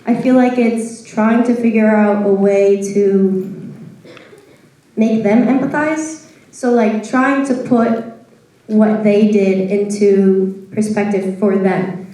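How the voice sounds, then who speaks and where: A woman's voice: mid-range voice, steady tone, slow pace, mellow. A young woman in a casual discussion who talks chattily and fills her speech with "like". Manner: chatty, heavy use of "like". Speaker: audience participant. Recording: echoey hall from far off.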